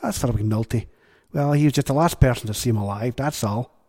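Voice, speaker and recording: A man voicing a smug old Irish villager with a husky, gravelly voice in a storybook. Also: medium pitch, brisk, warm tone, long reverb tail, lightly acted. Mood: gruff.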